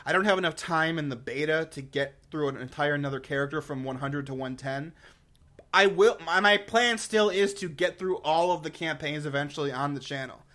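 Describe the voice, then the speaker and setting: A man's voice: a little high, brisk, bright, forceful tone. A man giving casual, unscripted commentary to viewers, rambling informally and leaning hard on key words. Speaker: gaming YouTuber giving a review and channel updates.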